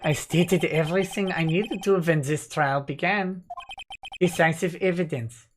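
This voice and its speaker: A man's voice: fairly high pitch, steady tone, measured pace, soft background music, close to an everyday voice. A man voicing a haughty, cold and impatient male prosecutor from a video game, with clipped phrasing. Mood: disdainful.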